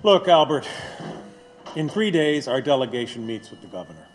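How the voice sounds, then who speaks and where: A man's voice: medium pitch, expressive, normal speed, faint music behind, close to an everyday voice. A man playing an exasperated ally pleading insistently with a prisoner in an audio drama.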